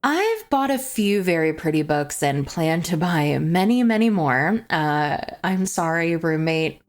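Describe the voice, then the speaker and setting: A woman's voice: low voice, lively intonation, measured pace. A young adult woman chatting as a book vlogger, gushing and confessional in manner. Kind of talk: chatty book review to camera. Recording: music playing behind the voice. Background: background music.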